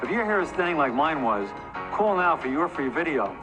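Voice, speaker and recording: A man giving a 1980s commercial pitch as a company spokesman. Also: big pitch swings, a little fast, rough recording. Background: music.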